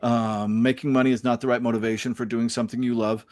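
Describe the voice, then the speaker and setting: A man's voice: mid-range voice, little pitch movement, fast, darkish tone, no room sound. A man hosting a casual podcast chat, rambling with many fillers and restarts and chuckling now and then. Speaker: podcast host.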